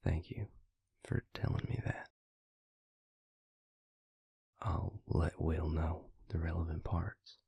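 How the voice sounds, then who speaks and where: A man playing a reserved, loyal vampire in an audio drama, speaking tersely in a soft, gravelly voice. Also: rumbling low, level tone, a little slow, no room sound, full character voice. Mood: guarded. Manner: terse, restrained, soft-spoken. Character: reserved, loyal male vampire, quiet and guarded. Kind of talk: audio roleplay drama acting.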